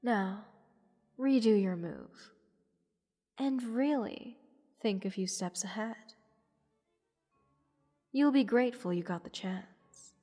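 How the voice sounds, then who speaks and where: A woman playing a haughty, playful goddess who teases a captive mortal in an unhurried, condescending manner, in a roleplay audio drama. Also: a little high, warm tone. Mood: coaxing, condescending. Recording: long hall reverb. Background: music.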